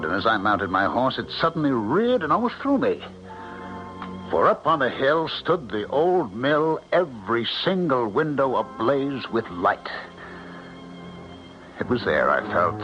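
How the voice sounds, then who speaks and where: An actor playing a rational, formal country doctor who narrates in a measured way, in a 1970s radio drama. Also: male voice, mid-range voice. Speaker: narrator and lead character. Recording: muffled 1970s radio recording.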